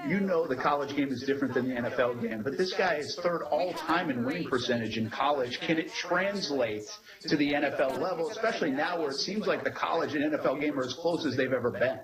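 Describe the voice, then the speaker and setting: A man delivers live sports commentary in a somewhat high-pitched voice. His delivery is emphatic yet conversational, as a TV reporter on breaking news. Clear control-room chatter runs behind him. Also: fast talker, few pauses, low-quality recording.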